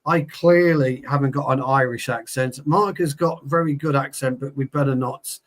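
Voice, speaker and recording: A man's voice: mid-range voice, moderate pace. A man hosting a casual football podcast, mocking himself as he wraps up the segment. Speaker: podcast host. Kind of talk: casual chat.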